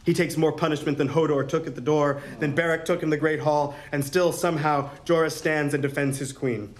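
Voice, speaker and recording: A man's voice: on the high side, fairly even intonation, brisk. A man narrating script directions in a dramatic reading at a table read. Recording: room with faint noise and crowd.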